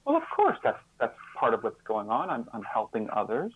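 Phone-like sound, man, interview guest, reflective, earnest, halting delivery, repeated words, mid-pitched voice. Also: huge pitch swings, breathy edge. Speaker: interview guest and author on caregiving. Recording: slightly phone-like, band-limited line.

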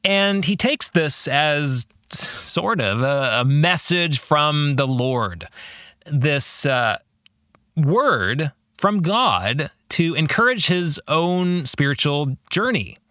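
A man hosting a reflective podcast discussion of a book and faith, speaking in deliberate, halting phrases and posing rhetorical questions to the listener. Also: on the high side, sharp tone, no room sound, clean studio sound. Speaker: podcast host and author.

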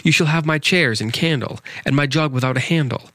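A man's voice: fairly high pitch, fairly quick, bright, forceful tone, no echo, voice pushed up. A man voicing a pleading, lilting little storybook suitor. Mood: earnest wooing. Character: tiny big-headed nonsense creature, lovelorn, earnest, weary suitor. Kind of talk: storybook narrative poem reading.

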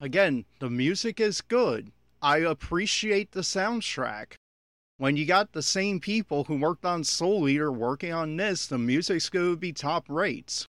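A man's voice: fairly high pitch, animated, a little slow, fairly bright tone, dry recording, studio quality. A man giving unscripted, opinionated audio commentary on an anime episode, critical and exasperated in manner.

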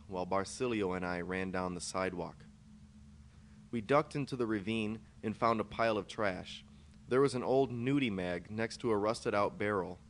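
A man reading his own first-person short story aloud as scripted narration. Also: on the low side. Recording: clear background noise, amateur podcast recording.

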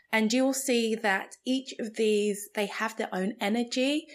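A woman from Nigeria whose first language is Igbo, hosting a podcast and reflecting slowly and earnestly on her beliefs. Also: medium pitch. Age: adult, a mother. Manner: slow, thoughtful, earnest delivery. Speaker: podcast host. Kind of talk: reflective personal monologue.

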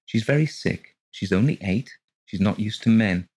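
A man's voice: on the low side, lively intonation, moderate pace, darkish tone, husky. A man reading an audiobook, voicing an exhausted mother who speaks firmly and keeps her voice level. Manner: firm, trying to keep level. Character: exhausted, anxious young mother. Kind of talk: audiobook character voice.

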